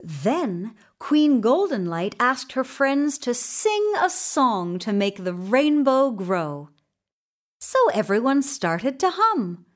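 A woman reading a children's story in an expressive, deliberate way with dramatic pauses. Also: on the high side. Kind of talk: scripted children's story narration.

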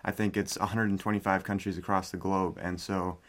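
A young man from South Dakota, an exchange student giving a measured, formal answer in a radio interview. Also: deep voice, flat delivery, fairly bright tone. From South Dakota, United States. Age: young adult.

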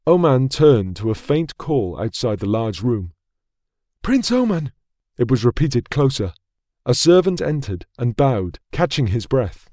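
A man narrating a fantasy audiobook in a measured, dramatic storytelling manner on a close studio mic. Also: medium pitch, animated, mellow, no room sound.

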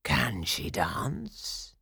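Woman voicing a slow, growling, menacing pirate chief. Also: rumbling low, huge pitch swings, gravelly, sharp tone, husky, dry recording, studio quality, pitched below the performer's own voice, heavily acted. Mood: leering. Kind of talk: dramatized storybook reading.

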